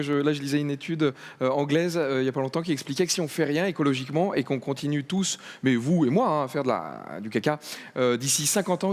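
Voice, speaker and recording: A man hosting a comedy gala, rambling through jokes with many fillers before introducing the next act. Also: fairly high pitch. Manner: rambling, many fillers and asides, quick riffs. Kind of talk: stand-up host patter. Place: large festival gala hall on stage.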